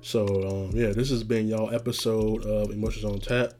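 A young man hosting a casual solo podcast, rambling in a laid-back, slangy way with many fillers, his voice going raspy. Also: lowish voice, flat delivery, moderate pace, a little mellow, faint music behind. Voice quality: getting raspy. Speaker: podcast host.

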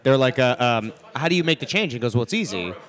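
A man chatting casually and comically, telling anecdotes in an animated way. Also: mid-range voice, fast, a crowd in the background.

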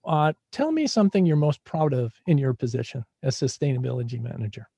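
A man's voice: medium pitch, expressive, a little fast, gravelly, dark tone, husky, no room sound. A man hosting a radio interview show, casual and filler-heavy in his questions.

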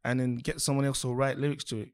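A man's voice: mid-range voice, little pitch movement, fast, no echo. A man from Nigeria who grew up partly in the UK, a songwriter guest talking casually and laid-back with emphatic bursts. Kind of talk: casual podcast chat.

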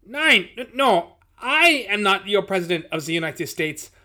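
A man performs a comic storybook villain, an exasperated military leader with a German accent. His voice is wheezy and winded, lifted well up in pitch, with an insistent edge. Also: very animated, a little slow, bright, forceful tone, full character voice.

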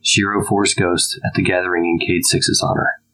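A man's voice: low-pitched, level tone, moderate pace, bright, forceful tone, rough recording. A man reading out a title as a scripted audiobook announcement. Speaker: audiobook reader. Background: music.

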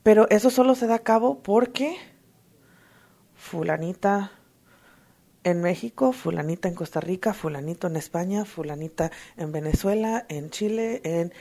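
A woman giving an emotional, earnest personal testimony at a recovery meeting, halting often to restart her sentences. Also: mid-range voice, warm tone. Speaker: twelve-step fellowship member sharing.

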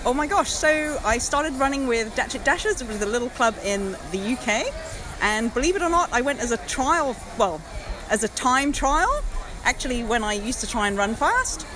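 A woman with an English accent, chatting excitedly in an outdoor interview at a park event. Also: on the high side, moderate pace, low-quality recording. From England. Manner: bubbly, enthusiastic. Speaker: interviewed parkrunner.

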